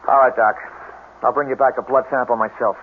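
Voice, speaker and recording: An actor in a radio drama playing a guarded, brooding swamp hermit, speaking tersely with an American accent. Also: male voice, medium pitch, fairly even intonation, fairly quick, close to an everyday voice. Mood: reserved. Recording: tinny, noisy old radio broadcast recording.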